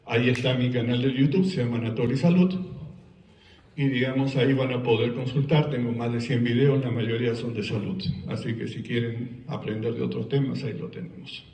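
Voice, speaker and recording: A man's voice: mid-range voice, steady tone, a little fast, a little mellow. A man from Argentina with an Argentine Spanish accent, telling personal stories in a conversational way to a live audience and joking with them. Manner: conversational storyteller, jokes with the audience. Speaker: speaker giving a talk. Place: event hall before an audience. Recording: echoey hall, recorded from a distance.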